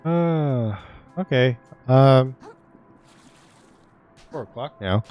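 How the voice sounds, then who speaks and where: A man's voice: medium pitch, expressive, very slow, highs cut off. A young man streaming a game, telling stories in a loose, rambling way full of "like" and "uh". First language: Vietnamese. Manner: rambling, filler-heavy storytelling. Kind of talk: casual gaming stream chat. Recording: faint game music behind.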